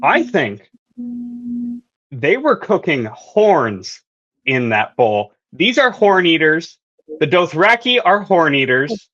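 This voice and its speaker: Slow, swooping woman telling playful theories. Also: low voice, sing-song, fairly bright tone, muffled recording. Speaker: guest panelist. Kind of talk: casual fan theory discussion.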